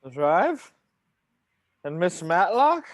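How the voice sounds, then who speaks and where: A man's voice: sits high, sing-song, no echo, full character voice. A man playing a theatrical, passionate family patriarch in a table read, drawing words out melodramatically. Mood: dejected. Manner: melodramatic, drawn-out delivery.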